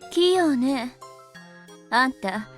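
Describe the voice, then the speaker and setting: A cool young witch in a visual novel. Her voice is high and girlish but wry, teasing her companion over prominent game music. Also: animated, slow. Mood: wry, amused. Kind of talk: Japanese visual novel acting.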